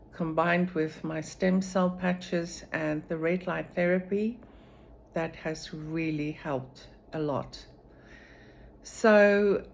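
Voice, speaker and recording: A woman talks through a personal video diary in a deep, low voice. She speaks slowly and measuredly, pausing often between thoughts. A faint hum of room noise sits behind her. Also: darkish tone. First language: Afrikaans. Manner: slow, measured, with frequent pauses and fillers. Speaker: vlogger sharing her recovery.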